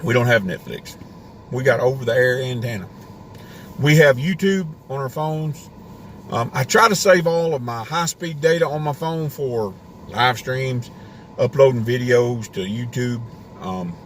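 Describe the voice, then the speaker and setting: A man's voice: mid-range voice, unhurried, slight background noise. A man hosting a homesteading live stream from inside a parked car, rambling in a casual rant with a worn voice. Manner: ranting, rambling with restarts. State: voice getting worn.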